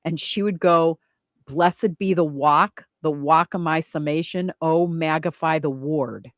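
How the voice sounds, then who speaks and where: A woman's voice: low-pitched, unhurried, no room sound, clean studio sound. A middle-aged woman co-hosting a radio show, telling funny stories, laughing and breaking into bits of song. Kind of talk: casual chat, storytelling.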